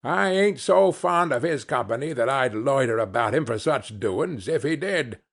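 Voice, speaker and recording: A man's voice: animated, moderate pace, creaky. A man voicing a coarse, greedy woman who cackles and mocks. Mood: cool. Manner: shrill cackling laughter. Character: coarse scheming old charwoman, mocking and greedy.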